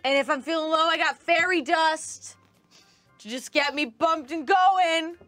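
A young woman on a studio card-game stream, pitching herself in a playful, mock-boastful, giggly way. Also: unhurried, pushed and bright, no room sound, faint music behind. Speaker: stream show cast member. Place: studio set with a card table.

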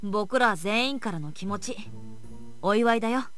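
A woman voicing a calm, kindly young woman in a visual novel, speaking in a soft, reassuring way. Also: medium pitch, a little slow, faint music behind, lightly acted.

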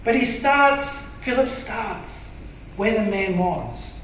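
An Australian man preaching a sermon from a church stage, earnest and emphatic, sometimes dropping to a soft, intimate tone. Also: high voice, animated, slow pace, slight background noise. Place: large dark church auditorium stage. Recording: very echoey hall from far off.

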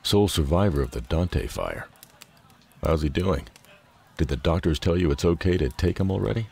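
A gruff, probing police lieutenant from a game. The voice is deep, creaky and husky. He talks bluntly, like an investigator asking questions. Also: lively intonation, moderate pace, a little mellow, exaggerated voice. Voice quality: gravelly, husky. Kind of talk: video game cutscene acting.

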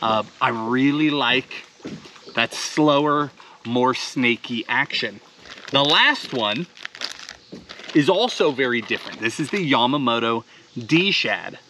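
A man's voice: medium pitch, animated, slow pace, bright, forceful tone, a little hiss or hum. A man in his thirties, an enthusiastic fishing host explaining gear step by step while sitting on a boat on a lake outdoors. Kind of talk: instructional fishing talk to camera.

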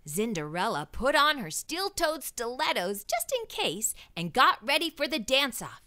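Bright, animated woman reading a storybook. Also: high voice, measured pace. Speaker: storybook read-aloud narrator. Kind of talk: expressive children's story reading.

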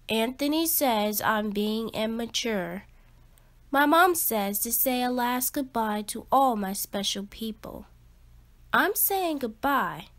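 A woman with a fairly high voice reads a children's picture book aloud. She takes it very slowly and phrases things with deliberate emphasis, in an expressive storytelling style.

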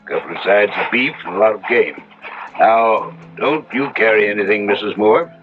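A man's voice: on the low side, moderate pace, faint music behind, close to an everyday voice. An actor playing a polite, reserved ranch foreman in a 1970s radio drama. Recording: tinny, noisy old radio recording.

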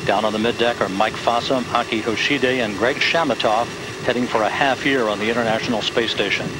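A man giving live, measured launch commentary in a control-center broadcast, stating altitude, speed and timing. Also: medium pitch. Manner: steady, measured, detail-heavy. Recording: noisy broadcast audio with rocket roar.

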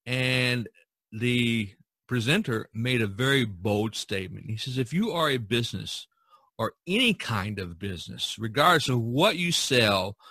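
A man's voice: medium pitch, pushed and bright, dry recording. A middle-aged man hosting a podcast, giving conversational commentary and repeating his points for emphasis. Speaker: podcast host.

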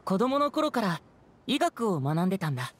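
A man's voice: high voice, big pitch swings, normal speed. A man voicing an earnest, caring young healer in an anime game. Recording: faint noise behind the voice.

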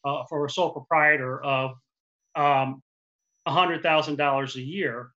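Man in his forties, lender giving a webinar over a headset; forceful bright tone, restarts often, lo-fi call audio. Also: a little high, fairly even intonation, measured pace. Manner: explanatory, with frequent restarts. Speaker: commercial lender. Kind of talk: webinar presentation. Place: office with printed backdrop. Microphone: headset boom mic on a video call.

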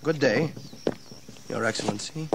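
An actor playing a proud, defensive colonial militia colonel, formal and protesting, in a historical audio drama. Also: male voice, mid-range voice, unhurried, natural voice. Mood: stiff, formal. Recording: 1980s audio drama with background noise.

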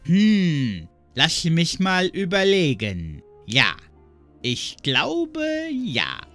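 A woman's voice: sits low, huge pitch swings, drawn-out words, fairly bright tone, faint music behind, full character voice. A woman playing a pondering river sailor in a fantasy audio drama, musing in a drawl.

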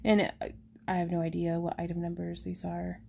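A chatty woman, showing handmade stones in a casual shop update, talking softly and excitedly over the camera. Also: on the low side, normal speed, creaky, a little hiss or hum. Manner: chatty and excitable, drops into soft whispers over pretty stones. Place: indoors at a craft table.

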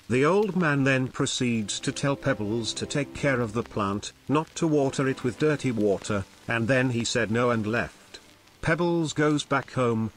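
A man's voice: mid-range voice, fairly bright tone. A man narrating a scripted fairy tale as a storyteller.

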